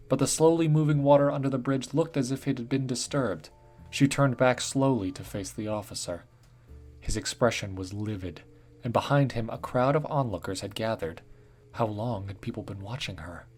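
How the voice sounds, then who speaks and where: Brief: male storyteller, mid-pitched, steady dramatic read. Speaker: narrator. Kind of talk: scripted story narration.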